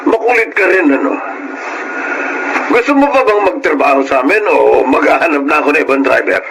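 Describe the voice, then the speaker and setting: A man's voice: fairly high pitch, animated, brisk, gravelly, background noise. A man playing a domineering, blunt employer with a commanding manner in a Filipino radio drama. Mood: stern scolding.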